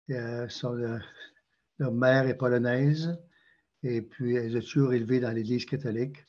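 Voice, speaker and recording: A man's voice: mid-range voice, mellow, slightly rough, highs cut off. An older man with a Canadian French accent, answering interview questions hesitantly with many fillers and also leading a prayer. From Quebec, Canada. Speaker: interview guest.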